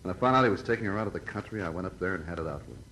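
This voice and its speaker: A man's voice: low-pitched, expressive, very fast, fairly bright tone. An actor playing a bitter, defensive, stubbornly protective tough guy in an old-time radio noir, using street talk. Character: defensive, bitter, protective older brother confessing to murder. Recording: noisy old radio drama recording.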